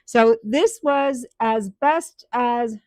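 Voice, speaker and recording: A woman talks her viewers through her woodturning project in a slow, rambling live-stream chat full of ums and restarts. Her voice sits a little high, and the sound is dry and close with no room echo. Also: darkish tone.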